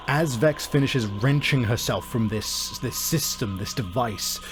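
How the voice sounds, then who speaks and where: A man with a medium-pitched voice works as game master of a tabletop role-playing game. He describes things in vivid, intense detail, with music playing clearly behind him. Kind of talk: dramatic tabletop game narration and rules talk.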